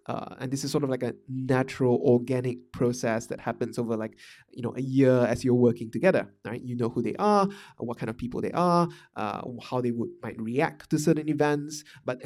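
A man's voice: mid-range voice, dark tone. A man from Malaysia talking through management ideas in a casual monologue, thoughtful and full of fillers and restarts. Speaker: podcast host discussing management.

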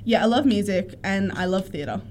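A young woman, a new student guest on a radio show, giving brief soft-spoken replies. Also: mid-range voice, expressive, moderate pace, a little hiss or hum. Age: young adult. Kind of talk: casual radio chat.

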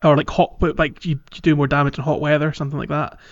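A man's voice: a little high, flat delivery, fast talker. A man co-hosting a podcast, chatting casually about a video game and explaining it with some searching for details. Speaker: podcast co-host. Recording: dull, lo-fi sound.

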